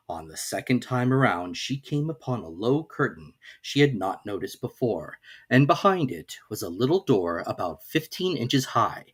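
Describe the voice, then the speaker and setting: A narrator reading a classic children's story aloud in an expressive storytelling style. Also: mid-range voice, slightly rough. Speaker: audiobook narrator.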